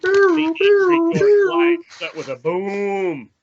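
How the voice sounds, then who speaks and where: A man's voice: extremely high pitch, wildly swooping pitch, takes it slowly, crowd noise. A man reading out the stage directions of a horror screenplay as narrator at a table read. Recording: slightly dull mic, faint noise.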